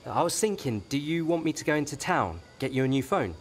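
A blunt young son in a radio soap, quick to tease or bristle. The voice is lively and a bit high, over faint background noise. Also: male voice. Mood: teasing. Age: young adult. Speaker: actor.

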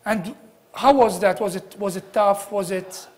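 A man's voice: high voice, a little slow, a little mellow. A man in his thirties with a Maltese accent, hosting a TV current affairs show in a studio, switching between Maltese and simple English and repeating his guest's answers. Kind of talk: studio interview.

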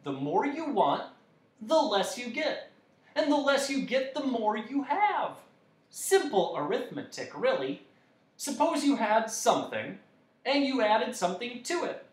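A man's voice: extremely high pitch, very animated, measured pace, far from the mic, voice pushed up, heavily acted. A man voicing a pleased, chatty many-faced creature from a storybook, explaining things like an eager teacher. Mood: eager lecturing. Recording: echoey room.

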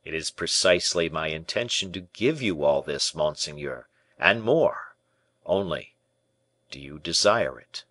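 A man reading a storybook part as a courtly, devoted conspirator, formal, deferential and persuasive in measured rhetoric. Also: very deep voice, animated, a bit bright, no room sound, heavily acted. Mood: smooth, assured.

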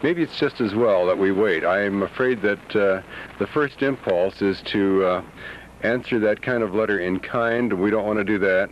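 A middle-aged American man answering an interview carefully, with deliberate hesitations. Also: on the low side. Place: outdoors by a brick building. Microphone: handheld reporter's microphone. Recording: muffled, noisy 1970s newsreel sound.

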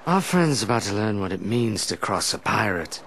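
Wry, swaggering pirate captain, noisy recording. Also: male voice, big pitch swings, normal speed. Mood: defiant. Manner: theatrical, wry. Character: sly, swaggering pirate captain, flippant and slippery. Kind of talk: video game cutscene acting. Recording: noisy game capture with rumbling ambience.

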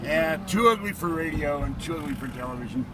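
Rambling, joking man vlogging from a car, lively pitch, heavy traffic noise. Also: a little fast. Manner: chatty, joking, teasing, laughs often. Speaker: vlog host. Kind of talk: rambling casual car vlog. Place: inside a car on a traffic-jammed bridge. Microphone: phone camera held in the car. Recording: loud road noise from traffic.

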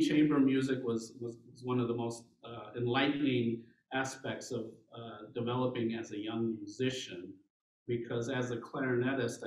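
Middle-aged guy, clarinet teacher intro, kinda halting, picked up from across a big echoey room. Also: mid-range voice, distant mic. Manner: relaxed, halting, with frequent restarts and small laughs. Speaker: clarinet professor leading a masterclass. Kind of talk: informal spoken introduction. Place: large recital room, indoors. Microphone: far camera mic.

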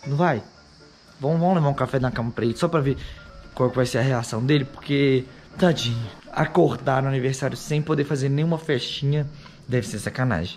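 A hoarse young man chatting casually to viewers in a vlog, filmed on a handheld action camera on an outdoor patio and in a kitchen. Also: medium pitch, darkish tone. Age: young adult. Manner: chatty, addresses viewers directly. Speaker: vlogger. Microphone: handheld action camera at arm's length.

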